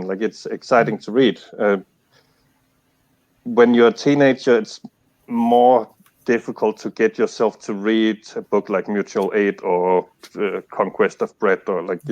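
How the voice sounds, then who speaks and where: A man's voice: on the low side, darkish tone, highs cut off. A man from Denmark, a conference panelist, talking through his reading history in a casual academic discussion, hesitant and full of fillers. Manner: hesitant, thinks aloud with many fillers.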